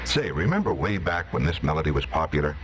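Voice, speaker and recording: A man's voice: extremely low pitch, sing-song, fast talker, slight background noise. An American man working as a radio announcer, in a 1950s broadcast style. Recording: vintage radio broadcast, music underneath. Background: music.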